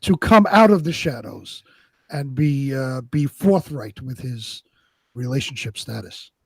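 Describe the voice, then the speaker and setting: A man's voice: medium pitch, lively intonation, measured pace, creaky, darkish tone, husky, no room sound. A man co-hosting a live show in a casual, conversational way. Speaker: co-host of a live online show.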